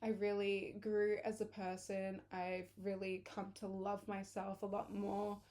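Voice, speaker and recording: Hoarse young woman chatting. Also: medium pitch, monotone, measured pace, a little mellow. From England. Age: early 20s. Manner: chatty, rambling, corrects herself. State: hoarse, losing her voice. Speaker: vlogger. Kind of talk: casual chat to camera.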